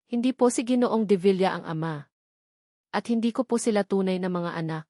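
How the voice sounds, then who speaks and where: A woman plays an earnest young Filipino mother making a confession in a narrated love story. The pitch is medium and the sound is dry and close. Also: brisk, dark tone, no room sound, lightly acted. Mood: earnest, pleading.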